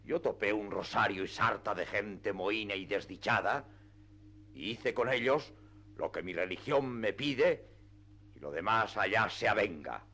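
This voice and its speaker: Guy doing a pompous touchy knight for a radio play, forceful bright voice, grand old-fashioned speeches. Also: on the low side, measured pace, exaggerated voice. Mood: indignant. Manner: grandiloquent, archaic, forceful declamation. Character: proud, indignant, deluded middle-aged knight-errant. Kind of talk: classic radio theatre acting.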